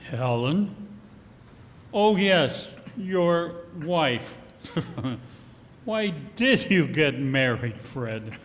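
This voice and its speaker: An actor playing a cold, bitter old miser with scornful, barking theatrical delivery in a 1940s style radio play. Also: male voice, on the high side, sing-song, very slow, pauses often, exaggerated voice. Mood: curt, dismissive. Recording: live performance in a reverberant hall.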